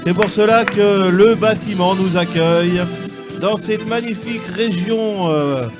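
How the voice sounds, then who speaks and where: Pompous male host, high voice, hesitant, faint music behind. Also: measured pace. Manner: pompous announcer tone, many hesitations. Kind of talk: mock radio show hosting at holiday event. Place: holiday village hall with audience.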